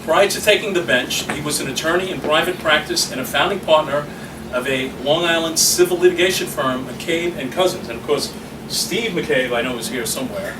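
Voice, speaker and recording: A man's voice: fairly high pitch, normal speed. A man, speaking as chapter president and introducing a debate to an audience, joking between fillers. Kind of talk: public speaking at an event. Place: small meeting room. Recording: echoey room, far from mic, noisy.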